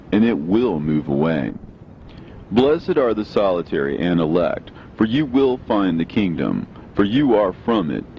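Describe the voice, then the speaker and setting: A man giving a solemn, measured, declamatory scripted reading of scripture as a narrator. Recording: dull lo-fi sound, faint rumbling noise.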